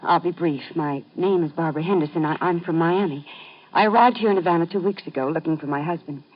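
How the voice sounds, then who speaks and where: A woman's voice: deep voice, fast talker. An actress playing a woman who is searching for her vanished husband, speaking in an earnest, pleading way, in a vintage radio drama. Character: desperate wife hunting her vanished husband. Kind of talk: 1950s radio drama acting. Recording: dull vintage radio broadcast.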